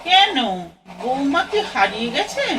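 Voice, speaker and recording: A woman acting a forthright young passenger in a radio play, a little off the mic. The voice is high and animated, with a friendly, inquisitive directness. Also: unhurried. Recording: slightly distant from microphone.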